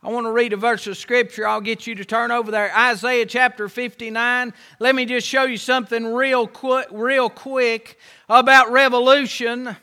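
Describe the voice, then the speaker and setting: A man's voice: very high voice, little pitch movement, normal speed, bright, forceful tone, clean tone. A man preaching a sermon, with an impassioned, repetitive cadence that rises and falls. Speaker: pastor.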